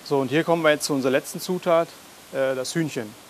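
Young chef explaining over a sizzling pan. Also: male voice, fairly high pitch. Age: young adult. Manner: relaxed, plain explaining with frequent filler pauses. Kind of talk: cooking demonstration. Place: garden, greenhouse and restaurant kitchen. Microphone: lapel. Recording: sizzling kitchen noise behind. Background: kitchen noise and sizzling pan.

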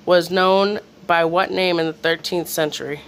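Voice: mid-range voice, fairly even intonation, moderate pace, fairly bright tone. Someone casually reading quiz questions aloud, sometimes stumbling over the words, in a room at home. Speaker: quiz reader. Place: small room in a home, off camera. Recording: faint background noise, homemade video.

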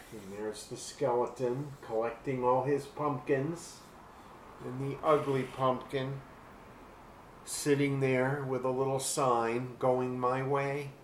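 An older man reading a children's storybook aloud expressively and deliberately, pausing to describe things. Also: mid-range voice. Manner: deliberate, with pauses to describe the pictures. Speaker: children's book reader. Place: small furnished room at home. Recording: roomy webcam sound with faint hiss.

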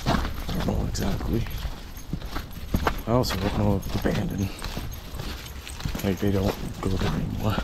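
A man with a fairly low voice rambles casually into a handheld phone camera as he walks a dog through the woods. The outdoor recording carries clear background noise under his talk. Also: level tone, moderate pace, pauses often. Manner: rambling, casual, swears, talks to camera while walking a dog. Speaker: vlogger exploring places. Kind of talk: casual walking vlog.

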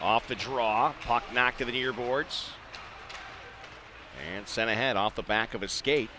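A man does live play-by-play of an ice hockey game in short, clipped phrases. His voice sits at a medium pitch and is excitable on big plays. There is faint rink noise behind him, as on a live arena broadcast. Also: a little slow.

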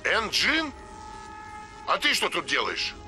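An actor playing a bossy, exasperated guardian mask spirit, an older mentor in a cartoon game, theatrical and commanding. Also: male voice, high voice, wildly swooping pitch, slow, sharp tone, full character voice. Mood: surprised. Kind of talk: cartoon video game voice acting. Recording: music behind the voice.

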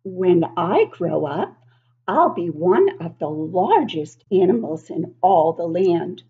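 A woman in her sixties reading a picture book aloud to young children, expressive and exaggerated, often putting questions to the listeners. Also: on the low side, takes it slowly, phone-quality sound. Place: living room at home, indoors.

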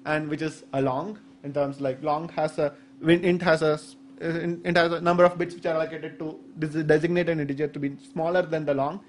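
Slightly high-voiced Indian man explaining. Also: little pitch movement, a little fast, a little hiss or hum. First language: Saurashtra. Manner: hesitant explaining with many fillers and restarts. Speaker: conference speaker. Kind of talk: technical talk. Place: medium indoor conference room. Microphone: stand microphone at the podium.

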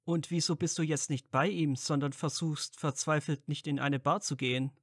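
A man's voice: a little high, fairly even intonation, moderate pace, no room sound, studio quality, close to an everyday voice. A man playing a caring, curious young wizard and supportive friend in a fan fiction audio drama, casual and earnest.

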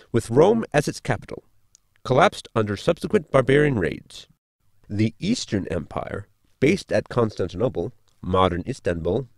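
A man's voice: medium pitch, creaky, dry recording, clean studio sound. A man playing a polite, pedantic robot crewmate who explains things in a sci-fi comedy audio drama. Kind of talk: sitcom audio drama acting.

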